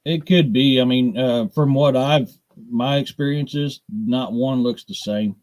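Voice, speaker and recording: Casting: American man, middle-aged, direct no-nonsense podcast co-host, folksy phrasing, average pitch, dry recording. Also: a little slow, darkish tone, no echo. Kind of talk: casual live podcast chat.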